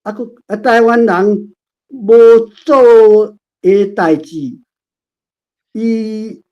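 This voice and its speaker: Female amateur reader, Taiwanese accent, hesitant and word-by-word; very slow, muffled lo-fi call sound. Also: on the high side. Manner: hesitant, sounding out words piece by piece. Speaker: participant reading a script. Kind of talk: halting read-aloud. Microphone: online call. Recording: dull lo-fi online call audio.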